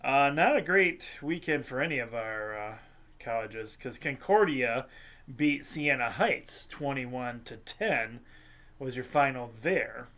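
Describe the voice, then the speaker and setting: A congested host, casually reading a sports scores recap with lots of ums and uhs and small jokes. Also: male voice, medium pitch, animated, fairly bright tone. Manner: halting, filler-heavy delivery with light jokes. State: congested, sinusy.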